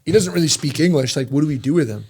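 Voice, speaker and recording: A man's voice: on the high side, rapid-fire, background noise. A man in his thirties, a native Serbian speaker, chatting casually as a podcast guest and acting out the people in his stories. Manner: animated storyteller, acts out quotes. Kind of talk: casual chat.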